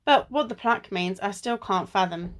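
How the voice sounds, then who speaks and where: A woman's voice: mid-range voice, normal speed, close to an everyday voice. A woman acting in an amateur radio play as a sensible, bossy sleuth with an exasperated, chiding manner. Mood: businesslike. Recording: recorded at home in isolation.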